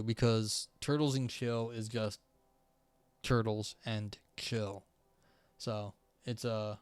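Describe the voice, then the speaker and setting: A young man chatting casually on a live stream, rambling with many fillers and restarts, his voice starting to go hoarse. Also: mid-range voice, darkish tone, dry recording. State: voice going hoarse.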